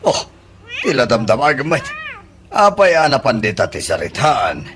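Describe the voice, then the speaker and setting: A man's voice: mid-range voice, big pitch swings, a little slow, fairly bright tone, slight background noise. A man with an Ilocano accent playing a nagging older male relative in a comedy radio drama. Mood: questioning, reproachful.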